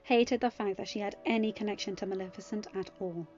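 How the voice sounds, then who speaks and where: A woman's voice: medium pitch, steady tone, fast talker, a little mellow, muffled recording. Woman narrating a story over music. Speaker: fan fiction narrator. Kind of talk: scripted audiobook narration.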